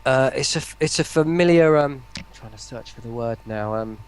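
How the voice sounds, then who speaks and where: A man's voice: medium pitch, a little fast, clear, resonant tone. A man in a casual online interview, rambling and often breaking off to search for words. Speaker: voice pack producer, interview guest.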